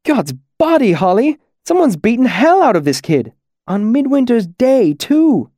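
A man playing a kindly, excitable man in a demon mask, emphatic and concerned, in an audiobook story. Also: sits high, moderate pace, no echo, studio quality, pitched above the performer's own voice. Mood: shocked.

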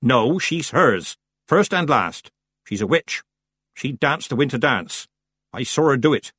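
Man as a stern old country witch, husky. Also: medium pitch, lively intonation, measured pace, a bit bright, no echo, voice pushed up. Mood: stern, unyielding. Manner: plain rustic grammar, firm.

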